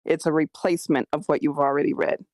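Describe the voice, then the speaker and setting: A woman's voice: low-pitched, fairly even intonation, fast, a little mellow, dry recording, clean studio sound. A woman screenwriter chatting casually about her own script in a hesitant, self-critical way.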